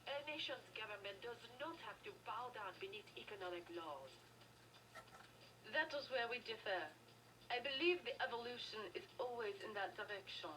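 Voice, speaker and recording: An actress playing an earnest underground political activist in a video game, speaking in an intellectual, declamatory way. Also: female voice, a little high, lively intonation, moderate pace, pushed and bright. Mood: argumentative. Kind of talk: video game dialogue acting. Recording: distant, noisy game audio.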